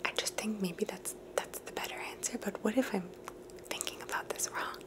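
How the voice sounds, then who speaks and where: A woman hosting an ASMR video, talking in a soft, unvoiced whisper and musing thoughtfully as she answers viewers' questions. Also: medium pitch, moderate pace. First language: English. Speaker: ASMR host.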